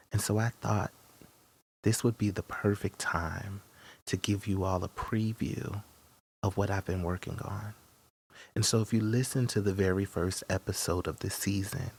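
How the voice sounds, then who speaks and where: A man hosting a podcast, giving a casual introduction in short, halting phrases. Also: low voice, creaky, husky. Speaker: podcast host.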